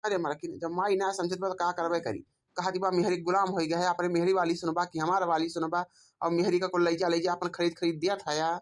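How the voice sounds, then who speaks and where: A woman's voice: fairly low pitch, little pitch movement, brisk, natural voice. A woman with an Awadhi accent voicing a pleading, put-upon grown village son and husband in a cartoon comedy. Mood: troubled. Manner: complaining, self-justifying.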